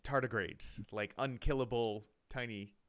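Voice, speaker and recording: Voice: medium pitch, steady tone, normal speed, fairly bright tone. A podcast co-host in casual chat, musing aloud and laughing often.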